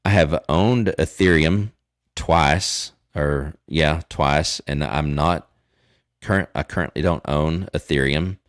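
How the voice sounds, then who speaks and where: A man in his forties speaks with a Texas Southern accent in an extremely low voice. He talks loosely and rambles, filling gaps with ums and starting sentences over. The sound is close and completely dry. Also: slow, dry recording. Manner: rambling, many fillers and restarts. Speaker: livestream host. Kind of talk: casual chat.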